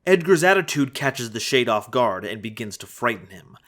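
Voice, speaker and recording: A man's voice: medium pitch, big pitch swings, normal speed, fairly bright tone. A man giving dramatic scripted narration for an audio drama. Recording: music behind the voice.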